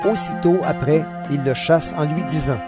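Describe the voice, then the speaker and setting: Guy narrating an audio bible, fairly high male voice, scripted read, music going behind him. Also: fairly even intonation, moderate pace. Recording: narration over clear background music.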